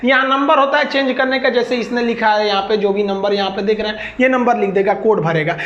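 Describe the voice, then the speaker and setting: High-pitched woman teacher with Bihari accent, echoey. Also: a little fast, fairly bright tone. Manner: colloquial, explains with everyday examples and acted-out exchanges. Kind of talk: informal explanatory lecture. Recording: echoey room, slightly dull sound.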